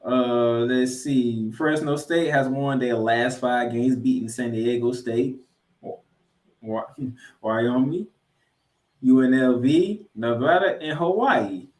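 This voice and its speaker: A man co-hosting a sports podcast, previewing games and picks with drawn-out, emphatic announcer-style introductions. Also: mid-range voice, darkish tone. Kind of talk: college football game previews and picks. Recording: roomy, echoey sound.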